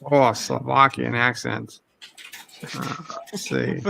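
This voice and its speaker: Playful, gravelly male streamer. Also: mid-range voice, steady tone, takes it slowly, sharp tone, slight background noise. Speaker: streamer host. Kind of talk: casual chat on a game stream.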